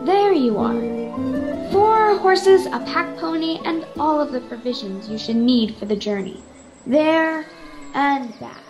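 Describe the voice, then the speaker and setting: A high, bright-pitched noblewoman played by a young female actor, commanding and brisk, in a fantasy radio serial. She sounds guarded and sure of herself, with music faintly behind her. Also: expressive, muffled recording. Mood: brisk, welcoming. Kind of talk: radio-serial style acting. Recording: video call with background music.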